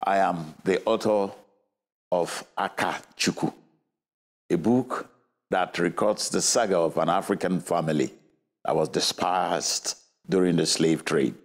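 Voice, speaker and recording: A man with a Nigerian accent, an author presenting and reading his own novel in a measured literary style. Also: deep voice. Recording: loud music under the voice. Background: loud music.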